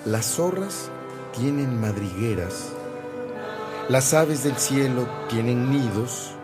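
A man playing a devout, gentle missionary priest in a radio drama, with a solemn, measured delivery. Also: mid-range voice, animated. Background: background music.

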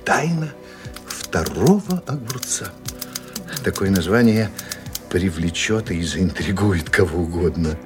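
A man reading scripted narration for an audio drama in a theatrical, expressive storytelling manner. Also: sits low, takes it slowly. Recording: music behind the voice.

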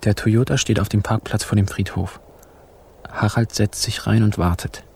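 An actor playing a sly, laconic narrator in a radio crime play, telling the story with wry, clipped phrasing. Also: male voice, lowish voice, fairly even intonation, moderate pace, vocal fry, darkish tone, slight background noise. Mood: dry. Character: wry, sly male narrator telling his own swindle.

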